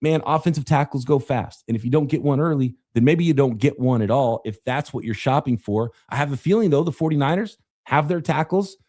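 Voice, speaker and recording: A man hosting a live podcast about the draft, slightly raspy as he fights off a cough, talking casually while he runs a draft simulator. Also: mid-range voice, brisk, few pauses, a little mellow. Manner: casual, thinking aloud while running a draft sim. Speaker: podcast host.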